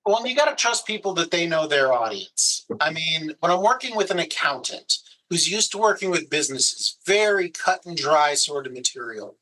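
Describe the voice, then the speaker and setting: A man's voice: a little high, animated, normal speed, few pauses, pushed and bright, low-quality recording. A man, a video producer, giving easygoing, practical advice in a casual conversation.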